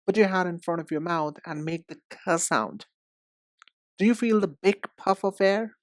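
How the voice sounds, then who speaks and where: A woman giving an instructional pronunciation lesson, speaking deliberately and enunciating with emphasis and pauses. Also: on the low side, no room sound, clean studio sound. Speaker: English pronunciation teacher. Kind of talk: instructional lesson, demonstrating sounds.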